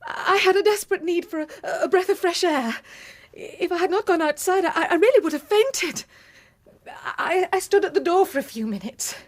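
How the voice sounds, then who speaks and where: An actress playing an anxious, secretive wife in a period radio drama, halting and breathless. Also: female voice, very high-pitched, expressive. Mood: nervous, evasive. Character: anxious, secretive wife pleading with her husband.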